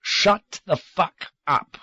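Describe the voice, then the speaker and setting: A cold, well-spoken villain with a superior, precise manner, as a male reader puts him on. His voice sits noticeably higher than the reader's own, and the audiobook sound is dry and a little dull. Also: expressive, normal speed, pushed and bright, dry recording. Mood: savage. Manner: smug, superior, precise diction.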